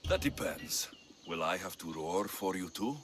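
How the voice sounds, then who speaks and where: A man playing a hardened young Greek mercenary in a dramatic video game, gruff, terse and intense in his delivery. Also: deep voice, animated, a little slow, noisy background. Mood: wry, businesslike. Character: hardened young Greek mercenary, skeptical, driven to protect family.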